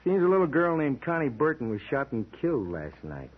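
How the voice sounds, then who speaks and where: A man's voice: mid-range voice, sing-song, brisk, slightly rough. An actor playing a flippant, gleeful henchman with teasing menace in an old-time radio crime drama. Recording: tinny, noisy old radio recording.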